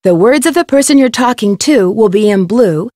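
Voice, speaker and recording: A woman's voice: fairly high pitch, lively intonation, moderate pace, dark tone, dry recording, studio quality, natural voice. A woman voicing a plucky, curious young woman detective in scripted lines for an adventure game. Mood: friendly, instructive.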